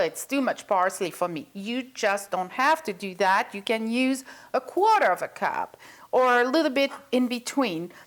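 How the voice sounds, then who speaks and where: Woman, cooking host, fairly high voice, unhurried, chatty step by step. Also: a bit bright. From Belgium. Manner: chatty, talks viewers through each step. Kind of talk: cooking demonstration.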